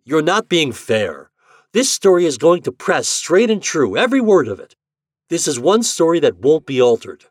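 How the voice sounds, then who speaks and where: A man voicing a determined male propagandist writer who talks in a forceful, snapping way. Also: medium pitch, sing-song, moderate pace, no echo, studio quality, pitched above the performer's own voice.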